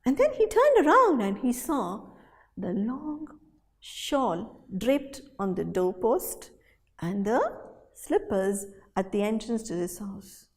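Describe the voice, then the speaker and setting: A woman storyteller telling a folktale in a dramatic, animated way, with deliberate pauses and repetition. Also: fairly high pitch. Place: hall at a storytelling festival. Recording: reverberant hall sound.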